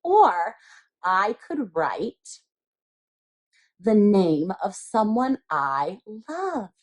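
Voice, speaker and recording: A woman's voice: medium pitch, darkish tone, low-quality recording. A woman teacher leading young children step by step through a craft, explaining simply and patiently with repeated phrases and little questions. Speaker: elementary school craft teacher.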